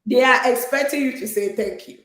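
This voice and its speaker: A woman's voice: fairly high pitch, fairly quick, a bit bright. A woman from Ghana speaks as an interview guest. She talks earnestly, with frequent fillers and repeated words. Her voice carries a roomy echo. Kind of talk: conversational interview.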